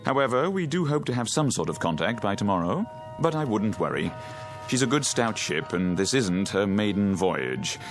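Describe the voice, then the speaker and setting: A commanding sea captain, low in pitch with expressive, sweeping inflection. He is measured, courteous and reassuring, in a radio play with soft music behind. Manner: measured, authoritative. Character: gruff but courteous male ship's captain, steady and reassuring. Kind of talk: radio drama acting.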